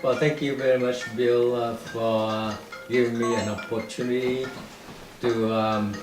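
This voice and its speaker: A man's voice: medium pitch, flat delivery, unhurried, loud music behind the voice. A man in his eighties from North Vietnam, a professor answering interview questions in a hesitant way, with many ums and restarts. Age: 80s. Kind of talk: slow reminiscing interview answer. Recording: echoey room, mic at a distance, faint hiss.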